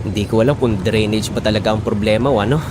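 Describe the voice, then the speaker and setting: A man's voice: on the low side, steady tone, fast, noisy background, close to an everyday voice. A man playing an earnest, persevering husband who pleads for his family in a Filipino audio drama. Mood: patient, defensive.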